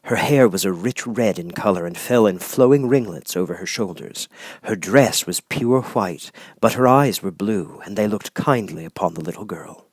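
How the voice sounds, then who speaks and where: A man reading a children's storybook aloud as an expressive audiobook narrator. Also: lowish voice, moderate pace, dry recording, clean studio sound.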